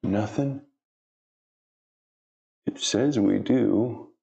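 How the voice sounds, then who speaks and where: Middle-aged dad-type man, low gravelly voice, chatty and self-talking, casual workout vlog. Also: very slow, warm tone, muffled recording. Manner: chatty, self-talking, flustered by equipment trouble. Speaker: fitness vlogger.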